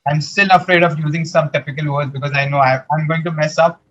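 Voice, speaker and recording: A man from India with an Indian English accent hosts a relaxed interview, earnest and pausing now and then to find his words. His voice sits a bit higher than most men's, and the recording sounds dull and lo-fi. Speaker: podcast host. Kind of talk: casual interview.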